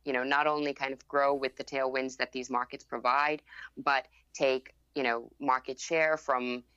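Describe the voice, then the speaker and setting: A young woman who grew up in Thailand, with Thai as her first language, speaking as a podcast guest in a measured way, filling her answers with "you know" and "um". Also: rumbling low, steady tone, a bit bright, clean tone, dry recording. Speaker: guest, fund founder. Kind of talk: podcast interview. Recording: dull lo-fi remote audio.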